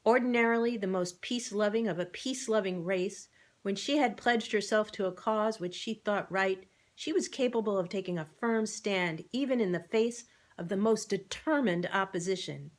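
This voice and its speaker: A woman narrating a children's book in an expressive read-aloud style. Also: mid-range voice. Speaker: storybook reader.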